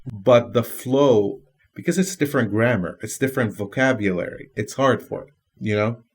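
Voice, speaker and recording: A man from Lebanon whose first language is Lebanese Arabic, speaking as a podcast guest in a thoughtful way with pauses and restarts. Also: medium pitch, breathy edge. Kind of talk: casual conversation.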